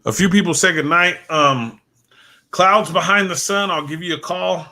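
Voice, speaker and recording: Bright-voiced host losing his voice. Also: high voice, moderate pace, slight background noise. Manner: rambling, repeats words, thanks viewers by name. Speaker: livestream host. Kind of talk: casual livestream chat.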